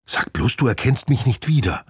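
A man voicing a schoolgirl who has been turned into a flying squirrel, talking in an excited, rushed way. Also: mid-range voice, breathy edge, dry recording, studio quality, pitched above the performer's own voice. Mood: eager, urgent. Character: girl turned into a flying squirrel, hurried and eager.

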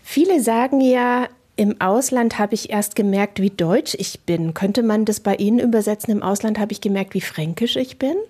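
A woman's voice: mid-range voice, moderate pace, hardly any pauses, a little mellow, husky. A woman hosting a radio talk show, guiding the conversation with leading questions. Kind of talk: radio interview.

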